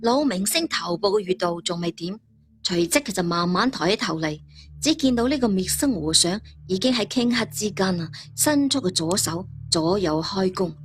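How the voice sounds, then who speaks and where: Hk lady storyteller, dramatic narration, faint music behind. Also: fairly low pitch.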